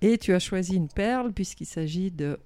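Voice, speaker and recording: A woman hosting a radio interview, asking questions in a lively, friendly way. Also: lowish voice, moderate pace, dry recording. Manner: lively, laughs easily. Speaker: radio host.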